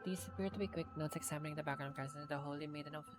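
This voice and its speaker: A woman game streamer reading game text and dialogue aloud in a measured, deliberate way. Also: low voice, fairly even intonation, music in the background.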